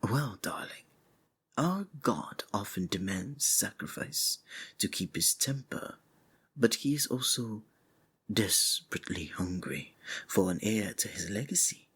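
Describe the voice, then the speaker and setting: A man playing a sinister, seductive cult preacher in an intimate ASMR roleplay, husky and breathy, often sinking into whispers, with archaic, formal endearments. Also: on the low side, animated, measured pace, a bit bright, heavily acted. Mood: ominous, seductive.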